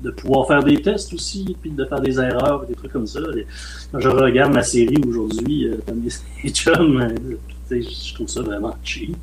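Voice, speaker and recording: A man in his late forties with a Québécois French accent, a film director talking in a relaxed, rambling way as a podcast guest. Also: mid-range voice, normal speed, slight background noise, rough recording. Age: late 40s. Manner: relaxed, rambling, frequent "euh" and "mettons". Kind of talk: casual podcast interview.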